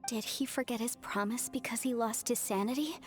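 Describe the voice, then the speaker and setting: A woman's voice: on the high side, brisk, soft background music, lightly acted. A woman voicing a polite, thoughtful young woman in an anime video game, courteous, articulate and inquisitive. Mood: curious, concerned.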